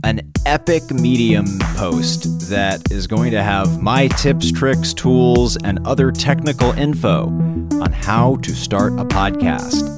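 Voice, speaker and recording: A man speaking casually and candidly as a podcast host and voice actor, in an animated, rambling, self-deprecating way. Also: fairly low pitch, takes it slowly, over loud music.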